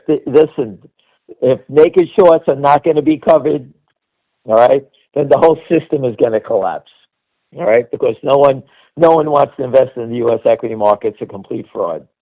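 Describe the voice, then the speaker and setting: Muffled lo-fi call recording, guy with average pitch doing blunt pushy off the cuff market commentary. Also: gravelly. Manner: blunt, insistent, punctuated by "all right?". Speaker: stock commentator hosting a conference call. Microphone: conference call line. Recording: dull, lo-fi radio-like call audio.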